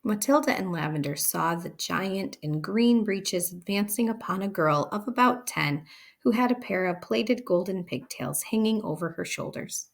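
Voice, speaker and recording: A woman reading a children's story aloud as expressive scripted narration. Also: medium pitch, moderate pace, gravelly. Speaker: storybook reader.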